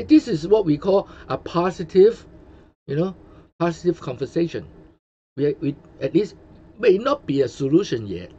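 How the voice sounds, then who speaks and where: A man's voice: on the high side, dark tone, breathy edge. A man from Hong Kong with a Hong Kong accent, a Cantonese speaker hosting his own channel in English, chatting casually with many fillers and restarts. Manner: rambling, many fillers and restarts, chuckles often. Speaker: channel host. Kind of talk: casual commentary livestream. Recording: dull lo-fi sound with faint noise.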